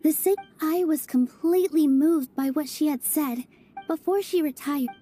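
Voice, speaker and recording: A woman playing a gentle, earnest young dancer in a video game, speaking with soft-hearted sincerity. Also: high-pitched, normal speed, lightly acted. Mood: warm, reflective. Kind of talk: video game character voice acting. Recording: game music behind the voice.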